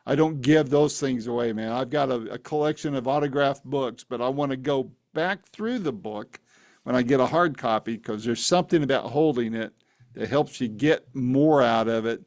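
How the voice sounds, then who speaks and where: A man's voice: mid-range voice, normal speed, a little mellow, no room sound, studio quality. A man from the Phoenix area hosting an interview, friendly and chatty, often saying "man". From Phoenix, Arizona area. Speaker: interview host. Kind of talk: casual interview conversation.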